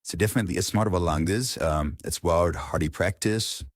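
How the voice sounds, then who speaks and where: A man with an Indian accent giving an informal instructional talk in an earnest, halting way, repeating words for emphasis. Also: deep voice. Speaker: public speaking coach.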